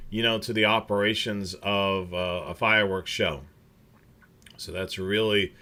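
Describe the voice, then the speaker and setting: A man's voice: on the low side, bright, forceful tone. A man hosting a casual livestream chat, rambling with many fillers and restarts. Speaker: scanner show host.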